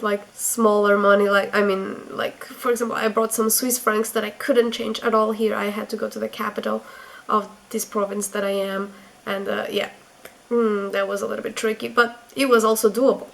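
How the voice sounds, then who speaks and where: A woman's voice: mid-range voice, vocal fry, fairly bright tone. A young woman from Portugal with a Portuguese accent, giving chatty advice in a casual vlog, full of fillers. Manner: chatty, many fillers and restarts. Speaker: vlogger.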